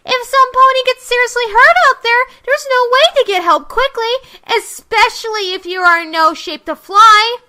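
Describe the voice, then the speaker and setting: Woman voicing a very high, sharp scolding motherly pony. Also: moderate pace, few pauses. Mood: stern, scolding. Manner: scolding, lecturing. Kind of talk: fan audio drama acting.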